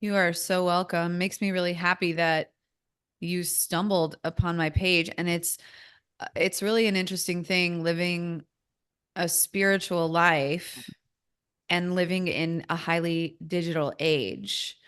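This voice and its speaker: An American woman converses as an interviewer. Her voice sits a little lower than most women's, and she phrases her thoughts in a reflective, deliberate way. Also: steady tone, fairly bright tone, clear, resonant tone.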